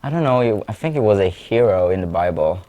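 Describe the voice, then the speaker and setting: A man's voice: lowish voice, expressive, brisk, warm tone. Young man with an Israeli accent, earnest. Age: 20s. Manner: earnest, searching for words. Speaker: guest musician. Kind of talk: interview answers.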